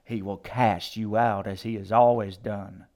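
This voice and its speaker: A fierce old woman zealot, as a male narrator plays her, declaiming with righteous fury in a storybook reading. The voice is loud and grating, thick with hatred and holy certainty. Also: mid-range voice, lively intonation, a little slow. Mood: furious preaching. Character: fanatical hate-filled old woman preacher, secretly a demon. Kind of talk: audiobook character acting.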